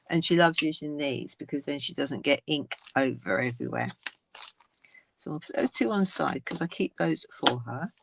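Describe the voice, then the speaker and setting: An older woman with a croaky voice, hosting a casual craft video and unpacking a card kit item by item. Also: low voice, noisy background. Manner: chatty, unboxing items one by one.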